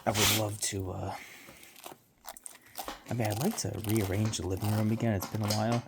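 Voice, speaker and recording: A man chatting casually while cleaning his living room, meandering and offhand, with quiet mumbled asides, into a handheld phone. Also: lowish voice, flat delivery, moderate pace. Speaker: vlogger and pet owner. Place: home living room, indoors. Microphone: handheld phone camera. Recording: loud background noise.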